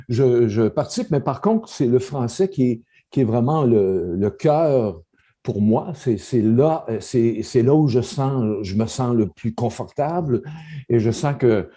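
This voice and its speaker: A man's voice: mid-range voice, dark tone, husky. A man from Northern Ontario with a Franco-Ontarian French accent, hosting a casual conversation and often restarting his words as he thinks. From Northern Ontario, Canada. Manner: halting, repeats words, reflective. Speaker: podcast host.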